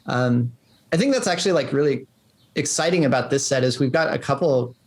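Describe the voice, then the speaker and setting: A man speaks in a casual interview as a game designer. His voice sits at a middling pitch, and he talks informally, feeling his way through sentences with plenty of filler words. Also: lively intonation. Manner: informal, halting, frequent "like".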